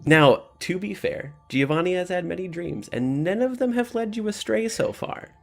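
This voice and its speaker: A man's voice: sits high, animated, normal speed, gravelly. A man narrating a tabletop game as game master, easygoing and encouraging with his players.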